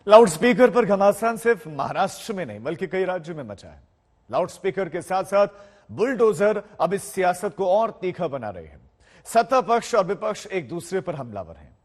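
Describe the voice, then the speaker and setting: A man's voice: high voice, sing-song, moderate pace. A man delivering scripted television news narration as a presenter.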